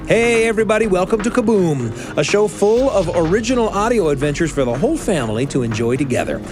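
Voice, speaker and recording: A man's voice: high-pitched, very animated, fast talker, over music. A man hosting a family audio drama podcast, delivering a scripted, upbeat introduction. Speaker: show host.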